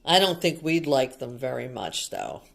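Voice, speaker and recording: A woman's voice: a bass voice, very animated, moderate pace, vocal fry. A woman reading a picture book aloud to young children like a teacher, explaining things simply and asking them questions.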